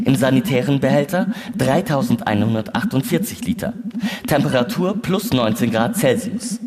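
A man's voice: mid-range voice, close to an everyday voice. A man playing a space-station computer in a sci-fi radio play, formally and precisely announcing readouts and alarms. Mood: formal, neutral. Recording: loud music and effects behind. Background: music and electronic sound effects.

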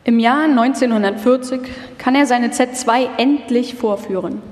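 Female documentary narrator, fairly high voice, live scripted read, long hall reverb. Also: normal speed, darkish tone.

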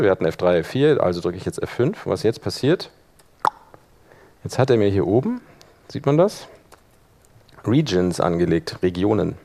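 A man teaching a live software workshop, explaining step by step in an informal spoken style. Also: mid-range voice, creaky. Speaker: workshop instructor. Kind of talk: live software demonstration to an audience.

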